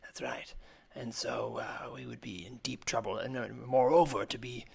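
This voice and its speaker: A man voicing a hesitant, stammering scholar of the future, speaking formally and in hushed tones in an improvised comedy sketch. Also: mid-range voice, normal speed. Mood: furtive, fascinated. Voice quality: hushed, creaky. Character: stammering male scholar of the future whispering furtively in library.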